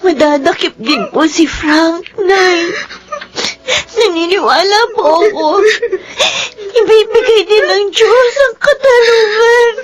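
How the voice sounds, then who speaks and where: An actress playing a tearful, dutiful young daughter in a Filipino radio melodrama, earnest and respectful. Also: female voice, very high voice, slow. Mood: tearful, consoling. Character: tearful, dutiful young daughter grieving her murdered sister.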